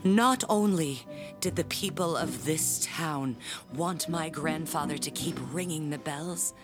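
An actress playing a proud, pompous handbell ringer, telling family lore in grandiose audio drama style. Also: female voice, sits low, a little slow, over music. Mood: solemn pride. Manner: grandiose, theatrical storytelling.

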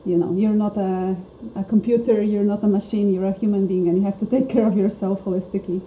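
A woman's voice: medium pitch, steady tone, fast, dark tone. A woman from Poland, a startup co-founder, speaking earnestly as a podcast guest. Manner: reflective, earnest. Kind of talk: casual podcast chat. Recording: roomy sound with faint noise.

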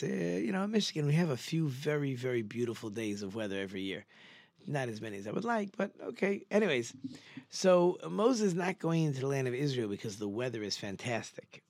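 Male, mid-pitched. Torah teacher, informal and conversational, stresses points by repeating them. Very dry recording. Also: no room sound. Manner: conversational, rhetorical questions, emphatic repetition. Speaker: religious teacher giving a Torah class. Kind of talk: informal sermon lecture.

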